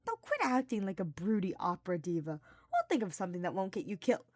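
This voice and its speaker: A woman's voice: medium pitch, big pitch swings, fast, a little mellow, dry recording, clean studio sound. A woman voicing a cheerful, teasing young male knight with a breezy, casual manner, as in an audiobook story.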